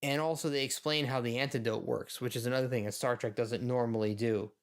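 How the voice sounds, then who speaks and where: A man's voice: medium pitch, fairly even intonation, brisk. A man co-hosting a podcast, giving casual, wryly amused commentary on an episode as he watches. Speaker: podcast co-host.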